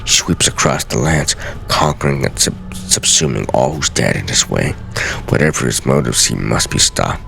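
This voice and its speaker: Aging video game master assassin, acted by a man: rock-bottom pitch, heavy gravelly fry, solemn, weary, measured journal delivery. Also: lively intonation, fairly bright tone, a little hiss or hum, exaggerated voice. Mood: grave, concerned. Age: older. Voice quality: very deep, gravelly. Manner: grave, measured, theatrical dramatic reading.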